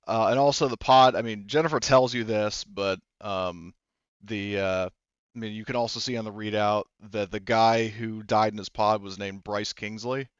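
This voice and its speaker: A man's voice: lowish voice, steady tone, moderate pace, clean tone, no echo, lo-fi sound. A man narrating a tabletop game as game master in a casual, rambling, explanatory way.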